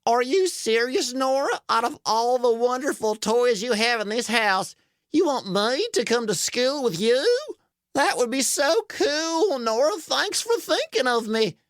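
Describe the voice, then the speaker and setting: Woman as a high, folksy, kindly toy dump truck. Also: expressive, a little slow, no room sound, studio quality. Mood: thrilled. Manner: folksy, courtly, earnest. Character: kind, polite, protective male toy dump truck. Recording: music behind the voice.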